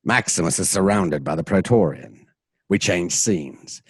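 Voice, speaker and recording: A man's voice: sits low, expressive, measured pace, breathy edge, dry recording. A man narrating a radio play from a script in an informal, dramatic way, with casual asides.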